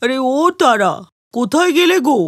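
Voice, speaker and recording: A woman's voice: high-pitched, animated, moderate pace. A woman voicing a pushy older female neighbour in a cartoon story, wheedling and gushing as she begs for food. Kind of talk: animated moral story cartoon dialogue.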